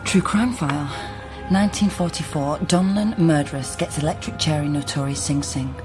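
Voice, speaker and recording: An actress playing a helpful, level-headed genealogist in a naturalistic radio drama. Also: female voice, low voice, moderate pace, music in the background, lightly acted. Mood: grave.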